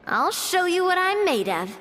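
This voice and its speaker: High, lively, plucky young lady. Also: unhurried, heavily acted. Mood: determined. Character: bright-spirited, confident, plucky young woman. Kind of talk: video game voice acting.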